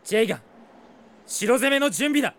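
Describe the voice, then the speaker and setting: Earnest young prince, very high voice. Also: male voice, animated, a little fast, crowd noise. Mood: determined. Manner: earnest, intense, dramatic. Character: earnest, headstrong young male prince, anguished for his friends. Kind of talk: Japanese fantasy audio drama acting.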